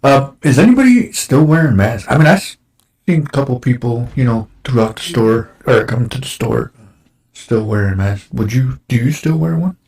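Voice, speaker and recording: A man in his forties, hosting a casual chat show, his voice a little raspy from dust, rambling along with lots of fillers. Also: mid-range voice, sing-song, normal speed, a little mellow. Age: 40s. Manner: rambling, with many fillers and restarts. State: slightly raspy from breathing dust. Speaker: show host. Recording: roomy echo.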